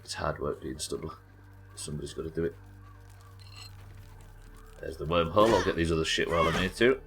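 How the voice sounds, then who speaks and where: A British man with a naturally croaky voice, chatting casually and swearing while streaming a game. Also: extremely low pitch, fairly quick, fairly bright tone, faint music behind. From United Kingdom. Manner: chatty, swears, reads chat aloud. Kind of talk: casual gameplay chat.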